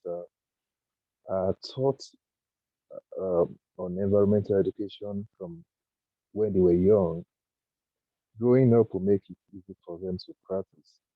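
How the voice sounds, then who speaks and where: A man in his thirties speaks earnestly, with a croaky voice and many hesitant pauses. His voice sits very low. The call sounds thin and tinny, like a phone line with no room around it. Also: dry recording. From Nigeria. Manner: earnest, thoughtful, halting with fillers. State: croaky, just woken early. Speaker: guest speaker. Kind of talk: online panel talk, personal story. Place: home, early morning.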